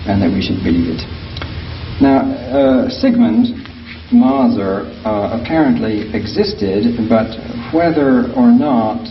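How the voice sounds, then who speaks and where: Male documentary presenter, dry and sardonic, halting delivery, muffled audio with background noise. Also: lowish voice. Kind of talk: informal commentary and reading documents aloud. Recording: echoey, noisy, distant microphone, muffled.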